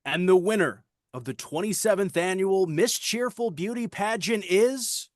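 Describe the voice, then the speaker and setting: A man's voice: high-pitched, measured pace, no room sound, studio quality. A man playing a brash, middle-aged radio host and announcer at a script table read, with showy, hammy delivery. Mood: grand announcing.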